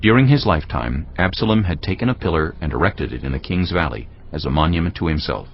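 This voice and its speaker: A man's voice: very deep voice, expressive, quick pace, slight background noise. A man narrating a dramatized audio Bible as a scripted story. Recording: dull lo-fi audio.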